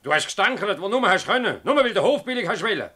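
Animated Swiss German village mayor. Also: male voice, sits high, fast talker, husky, exaggerated voice. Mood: accusing. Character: flustered, accusing male village mayor. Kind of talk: radio drama acting in dialect.

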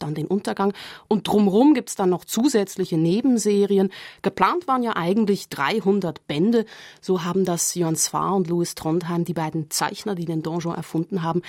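A woman's voice: mid-range voice, a little mellow, no room sound. Woman explaining on radio, dry studio sound. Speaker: radio magazine contributor. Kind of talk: prepared radio feature talk.

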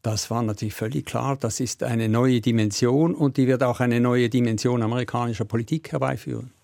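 A man's voice: mid-range voice, steady tone, a little fast, gravelly, darkish tone, dry recording, clean studio sound. An older man, a veteran correspondent giving emphatic, reflective commentary in an interview. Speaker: former Washington correspondent, interview guest.

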